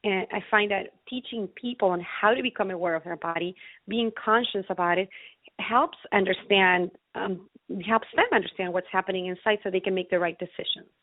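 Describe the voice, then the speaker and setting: A woman's voice: medium pitch, fairly quick, dry recording. Woman, dull narrow sound. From Ecuador. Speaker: interview guest, naturopathic physician. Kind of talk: conversational health explanation.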